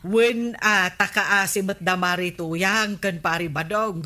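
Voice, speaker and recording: An actress with an Ilocano accent playing an inquisitive, chatty village neighbour in a radio comedy drama. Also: female voice, mid-range voice, fairly even intonation, slow, sharp tone. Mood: gossipy, curious.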